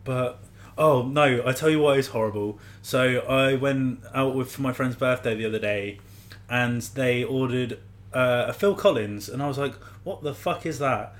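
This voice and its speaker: A man's voice: medium pitch, moderate pace. A man in his thirties, a guest on a podcast, talking in a laid-back casual way and laughing often. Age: 30s.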